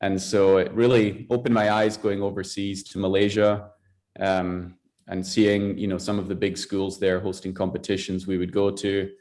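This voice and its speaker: A man's voice: low voice, level tone, normal speed, a little mellow. A Scottish man being interviewed on a podcast, telling his career story in a conversational, enthusiastic way. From Scotland. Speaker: guest, athletics director.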